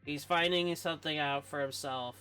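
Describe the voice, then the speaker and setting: A female game streamer with a low-pitched voice. She drops casual, live comments on what she is playing, with music faintly behind her. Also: fairly even intonation, moderate pace, a bit bright.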